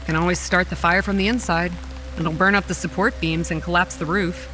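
A woman's voice: fairly low pitch, fairly even intonation, a little fast, sharp tone, low-quality recording. A young woman tells a horror story in the first person, as a campground manager on edge. Her delivery is wry and given to sardonic understatement, with music behind her. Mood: wry, dry. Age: young adult. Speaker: narrator and lead character. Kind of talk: first-person horror audio drama narration. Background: rain and music.

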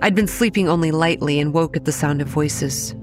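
An actress playing a haunted young woman, narrating childhood horrors in a solemn, deliberate first-person storytelling style. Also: low-pitched, over music, close to an everyday voice.

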